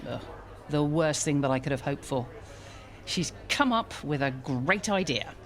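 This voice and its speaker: A woman's voice: low voice, lively intonation, unhurried. A woman acting an exasperated, wry village vicar in a radio soap, with a dramatic, sardonic delivery. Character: exasperated, wry female village vicar venting about a rival.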